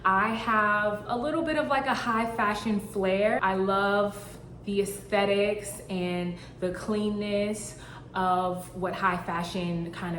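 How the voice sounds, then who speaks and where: A woman's voice: medium pitch, fairly bright tone, a little hiss or hum. Chatty young woman in an echoey room. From Boston, Massachusetts. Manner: chatty, playful, with fillers. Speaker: fashion brand founder. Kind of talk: casual vlog talk to camera. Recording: echoey room with faint ambience.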